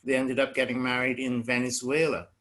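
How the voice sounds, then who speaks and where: A man's voice: mid-range voice, level tone, a little fast, fairly bright tone. An older man on a family video call, telling a rambling family story.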